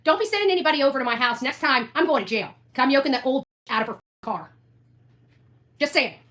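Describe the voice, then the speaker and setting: A woman's voice: high voice, lively intonation, fast talker, a bit bright. A woman livestreamer ranting confrontationally, taunting and repeating her phrases for emphasis.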